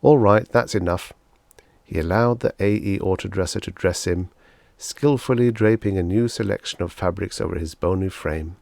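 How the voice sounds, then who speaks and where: Low, gravelly male audiobook narrator. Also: moderate pace, a little mellow, no echo. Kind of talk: scripted story narration.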